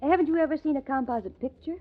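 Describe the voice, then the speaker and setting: A woman playing a chatty, knowing wife in an old-time radio drama, explaining things with gentle chiding, on a tinny vintage recording. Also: high voice, rapid-fire, close to an everyday voice. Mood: knowing, patient. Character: chatty, knowing wife who runs a publishing house.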